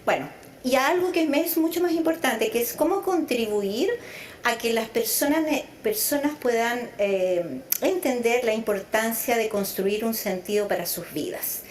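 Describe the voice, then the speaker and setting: A woman's voice: on the high side, moderate pace, roomy sound, a little hiss or hum. A middle-aged woman, a psychologist raised partly in Venezuela, speaking thoughtfully in an interview, stretching words for emphasis. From Chile, raised in Venezuela. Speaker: psychologist guest. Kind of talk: conversational interview.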